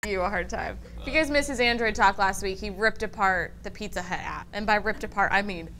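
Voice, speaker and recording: A woman hosting a tech meetup and making casual announcements to a room in an upbeat, chatty, loud way. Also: mid-range voice, fairly quick, background noise. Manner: upbeat and chatty, says she has a loud voice. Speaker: meetup organizer and host. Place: meeting room with an audience.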